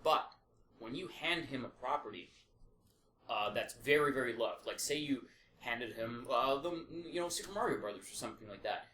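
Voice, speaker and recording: A man's voice: medium pitch, lively intonation, normal speed, sharp tone. A man co-hosting a casual podcast, explaining his opinions at length with the odd swear word. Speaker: podcast co-host. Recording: echoey room with faint background noise.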